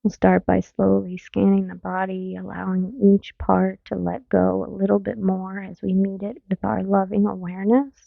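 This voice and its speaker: A woman mindfulness teacher leading a guided meditation in a gentle, soothing manner, leaving long pauses between phrases. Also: medium pitch, flat delivery, vocal fry, dry recording. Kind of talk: guided meditation, body scan. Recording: narrow phone-like sound, very dry.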